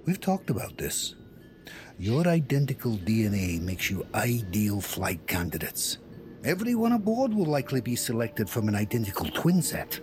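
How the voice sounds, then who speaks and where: A man's voice: medium pitch, big pitch swings, moderate pace, darkish tone, soft background music, lightly acted. An actor playing a firm, demanding father in an audio drama, talking to children in a didactic, coaxing way. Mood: explanatory. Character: firm, demanding father lecturing his young twin sons.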